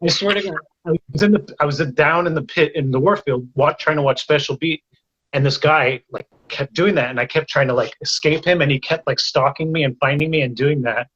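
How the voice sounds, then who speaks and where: Podcast guest, man, slightly high husky voice, chatty storyteller, muffled remote audio. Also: fairly quick. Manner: animated anecdote teller, lots of "like". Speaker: guest, author. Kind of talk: casual chat.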